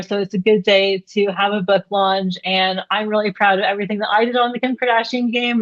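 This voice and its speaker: A woman's voice: mid-range voice, dull audio. A woman hosting an interview, asking upbeat, prepared-sounding questions. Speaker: host.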